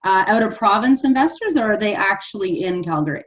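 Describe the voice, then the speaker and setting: A woman's voice: mid-range voice, expressive, fairly quick. An enthusiastic woman hosting a live panel, chatty and full of "like" and "you know". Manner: enthusiastic, chatty, many fillers. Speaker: host.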